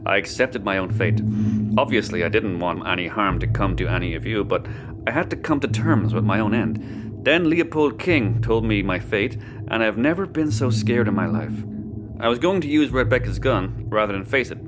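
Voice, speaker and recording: A man's voice: fairly low pitch, expressive, moderate pace, over music, natural voice. A man playing a world-weary, candid spacefarer in an audio drama, plain-spoken, with an Irish accent. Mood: sombre, confessional. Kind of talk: naturalistic sci-fi audio drama acting.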